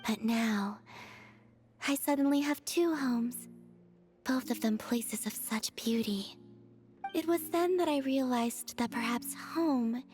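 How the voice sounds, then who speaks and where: A woman voicing a serene, gentle young moon goddess in a video game, speaking softly in a calm, wistful, formal way. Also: a little high, takes it slowly, soft background music. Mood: wistful, tender.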